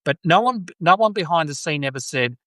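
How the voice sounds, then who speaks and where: A man's voice: a little high, lively intonation, a little fast, bright, forceful tone, no room sound. Australian man chatting on a dry close mic. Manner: conversational, frequent restarts and agreeing. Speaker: podcast host. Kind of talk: casual political chat.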